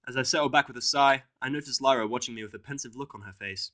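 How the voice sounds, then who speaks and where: A man's voice: mid-range voice, fast, sharp tone. A man reading fiction aloud as a dramatic first-person narration. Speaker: audiobook reader.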